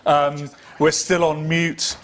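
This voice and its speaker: A man's voice: fairly high pitch, little pitch movement, slow, fairly bright tone. A man talking hesitantly on a video call, with many "uh"s and some self-mocking asides. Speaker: event participant who sketched. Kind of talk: casual chat on a video call.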